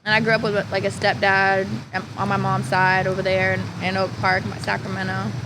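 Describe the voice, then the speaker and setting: A woman's voice: medium pitch, completely flat delivery, normal speed, background noise. A young woman from California, a podcast guest chatting candidly, laughing and swearing freely. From California, USA. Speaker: interview guest. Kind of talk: casual podcast interview.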